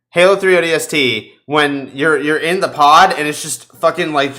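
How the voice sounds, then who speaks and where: Man, podcast host, slightly high pitch, bright edgy tone, sweary, slangy, casual gamer chat. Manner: sweary, slangy bro talk. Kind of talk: casual chat about video games.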